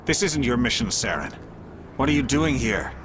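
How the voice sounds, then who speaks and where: A man's voice: mid-range voice, big pitch swings, normal speed, background noise, natural voice. An actor playing a stern, businesslike male alien agent with a grave manner in a video game cutscene. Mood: guarded, grave. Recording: loud music behind, game soundscape.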